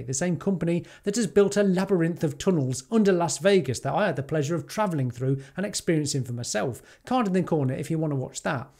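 A man's voice: on the high side, warm tone. A British man in his forties, a tech YouTuber giving scripted, enthusiastic commentary to camera from a home studio, with rhetorical questions and wry asides. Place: home studio with neon sign.

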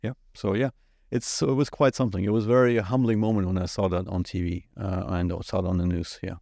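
Brief: German-accented man, 40s, low voice, laid-back podcast co-host, dry close mic. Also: fast talker, creaky, no room sound. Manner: relaxed, rambling with many fillers. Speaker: IT company owner, podcast co-host. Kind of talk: casual podcast chat. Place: small studio set. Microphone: studio mic on boom at the mouth.

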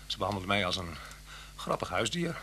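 An actor playing an unhappy, soft-spoken husband on the run in a Dutch radio play, speaking haltingly and often dropping to a near-whisper. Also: male voice, low-pitched, sing-song, exaggerated voice. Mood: wistful, bitter. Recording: hum and hiss of old radio recording.

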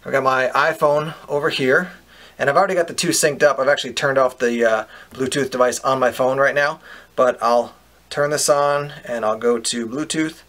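A man giving a relaxed, step-by-step product demonstration outdoors, explaining as he goes with casual fillers. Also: mid-range voice, normal speed. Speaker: product reviewer. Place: outdoors on a paved patio.

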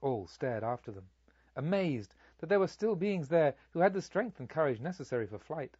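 Man, audiobook narration, solemn and measured, close dry sound, a little lo-fi. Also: fairly high pitch, sing-song, a little mellow, breathy edge, no echo. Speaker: audiobook reader. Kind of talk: scripted literary narration.

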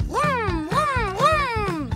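An actor playing an excitable, reckless little boy in a children's cartoon audio drama. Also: very high-pitched, drawn-out words, over music, full character voice. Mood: eager.

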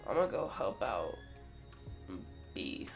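A young man with a croaky, gravelly voice chats loosely while he plays, full of slang and the odd swear. His pitch swings around a lot. Loud game music plays clearly behind him. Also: very animated, takes it slowly. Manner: laid-back slangy chatter, swearing. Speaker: game streamer. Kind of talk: casual gameplay commentary.

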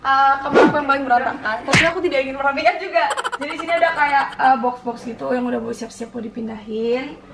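A woman's voice: sits high, fast, creaky, few pauses. A young woman, chatting informally and playfully as she moves around giving a casual house-tour vlog. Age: young adult. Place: indoors, rooms of a house. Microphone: handheld camera mic at some distance. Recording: echoey rooms, distant camera mic, noisy.

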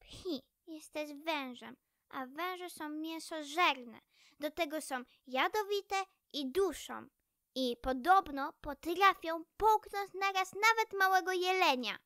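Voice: very high voice, slow, sharp tone, no echo, studio quality, full character voice. A young performer voicing a small, wary, proud female red squirrel, pert and childlike, in a children's story. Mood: wary, dramatic.

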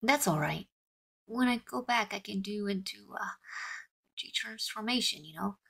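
Woman acting a husky, hesitant cartoon teen. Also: medium pitch, lively intonation, measured pace, sharp tone, full character voice. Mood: teasing. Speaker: amateur voice performer. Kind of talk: fan-made cartoon audio drama acting.

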